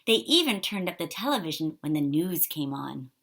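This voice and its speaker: A woman reading a children's picture book aloud in an expressive, animated way for young listeners. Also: low voice, normal speed. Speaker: storybook reader.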